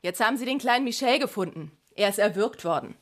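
A brisk physiotherapist in a radio play, acted by a woman. Her voice sits at a middle pitch, and she talks like an eager gossip passing on the news. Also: animated, lightly acted. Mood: eager, shocked. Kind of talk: radio drama acting.

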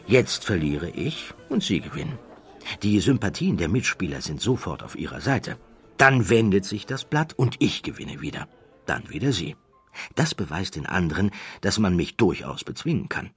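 A man voicing a confident, smooth-talking professional card sharp in an audiobook, persuasive and matter-of-fact. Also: sits low, big pitch swings, normal speed, soft background music. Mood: confident, scheming. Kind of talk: acted audiobook dialogue.

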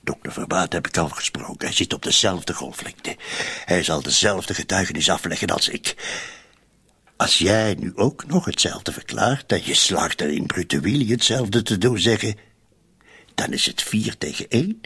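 An actor with a Flemish accent playing a scheming, suspicious conspirator in a radio drama, insistent and often dropping to near-whispers. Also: male voice, low-pitched, lively intonation, normal speed, sharp tone, husky, exaggerated voice. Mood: conspiratorial.

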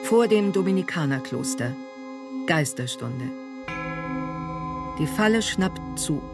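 A man's voice: a little high, expressive, slow pace, over loud music. A man announcing scenes in terse, dramatic scripted narration for a radio play.

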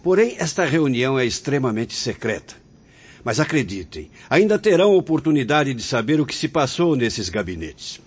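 A man's voice: medium pitch, very animated, moderate pace, slightly rough. A Brazilian man acting a kindly, authoritative priest spirit in a radio drama, speaking formally. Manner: formal, benevolent. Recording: dull lo-fi radio drama, faint music.

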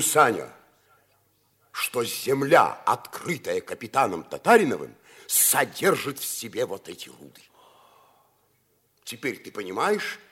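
Veteran Arctic hand, mature: weighty, earnest, husky, very expressive intonation, vintage radio-play declamation. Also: male voice, medium pitch, exaggerated voice. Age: middle-aged or older figure. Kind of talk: 1950s radio play acting.

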